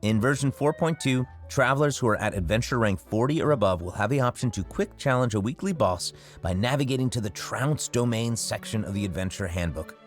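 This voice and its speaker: A man's voice: mid-range voice, animated, brisk, few pauses, soft background music. A man co-hosting a game update show in an upbeat, semi-scripted presenting style.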